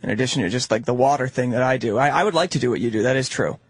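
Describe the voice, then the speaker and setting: A man on the show's staff, firing back cocky, deadpan comebacks from off-mic in the studio. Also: mid-range voice, very fast, husky. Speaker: show staffer.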